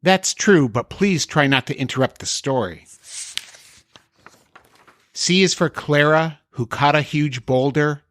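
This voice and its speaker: A man's voice: on the high side, unhurried, long pauses, a bit bright. A man hosting a podcast, delivering scripted comic dialogue and storybook reading. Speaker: podcast host.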